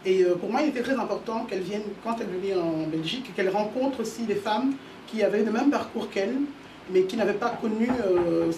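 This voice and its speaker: A woman's voice: mid-range voice, a little fast. A woman from Italy answering as a talk show guest, hesitant and full of fillers and repeated words. Her voice sounds roomy and a little far from the mic. A faint noise sits underneath. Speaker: association organiser, guest. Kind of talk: interview answers.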